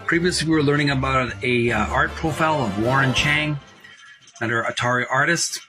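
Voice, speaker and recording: A man hosting a casual book-reading video, relaxed with many fillers as he reads passages aloud. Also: medium pitch, brisk, over music. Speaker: host.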